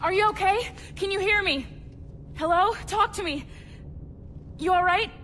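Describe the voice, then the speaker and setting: A woman's voice: extremely high pitch, measured pace, sharp tone, heavily acted. A woman playing an anxious rescuer in a video game cutscene. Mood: urgent concern. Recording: long reverb, faint noise.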